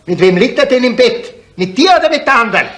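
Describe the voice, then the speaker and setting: A man's voice: extremely high pitch, expressive, quick pace, roomy sound. An actor playing a violent, hot-tempered village innkeeper and father who taunts and bullies in a German radio crime drama. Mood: taunting, menacing. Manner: taunting, shouting questions. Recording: noisy 1970s radio play recording.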